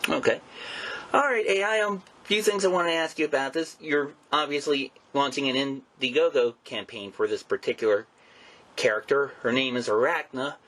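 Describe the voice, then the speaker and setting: A man's voice: mid-range voice, moderate pace, fairly bright tone. A man hosting a comics show, talking casually through introductions and announcements.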